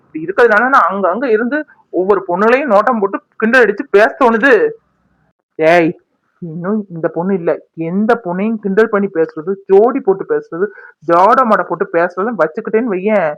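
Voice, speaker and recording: A woman's voice: medium pitch, fairly quick, lo-fi sound, close to an everyday voice. A woman acting a fierce, scolding village woman in a drama, with a confrontational, threatening manner. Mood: angry scolding. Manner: confrontational, threatening, rhetorical questions.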